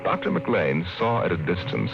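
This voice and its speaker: Very deep-voiced radio narrator man. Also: wildly swooping pitch, normal speed, vocal fry. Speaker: host and narrator. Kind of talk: scripted dramatic narration. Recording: dull old radio transcription. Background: orchestral music.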